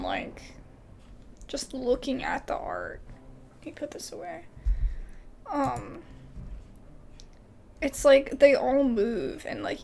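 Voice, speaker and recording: High-pitched, animated woman with a creaky edge. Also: a little hiss or hum. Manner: informal, exclamatory asides with frequent "like". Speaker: video game streamer. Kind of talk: casual game commentary, reading dialogue aloud.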